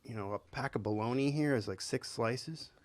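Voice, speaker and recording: A man's voice: medium pitch, moderate pace, a little mellow. A man co-hosting a podcast, easygoing and joking, often restarting his sentences with "uh". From United States. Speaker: podcast co-host. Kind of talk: casual chat.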